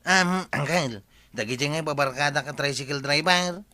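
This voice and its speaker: A man playing a cheeky, teasing village tricycle driver in a comedy radio drama, pushy and quick to chuckle. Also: fairly high pitch, pushed and bright, dry recording. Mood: cheeky, insistent. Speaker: actor in an Ilocano comedy drama.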